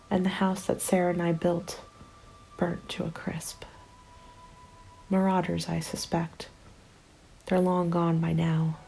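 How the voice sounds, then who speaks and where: A woman acts out a lone, worn-out female survivor of a zombie apocalypse, telling her story as an ASMR roleplay. Her hushed voice is low and creaky, often slipping into breathy whispers. She speaks very evenly and unhurriedly, with music underneath. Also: poor audio. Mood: bleak, lonely. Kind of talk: ASMR roleplay, scripted first-person narration.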